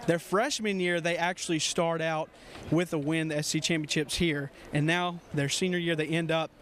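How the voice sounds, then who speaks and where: A man's voice: a little high, steady tone, moderate pace, a bit bright. Male field reporter with noisy background. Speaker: sports reporter. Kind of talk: on-location interview questions. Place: sports arena. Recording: clear background noise on location.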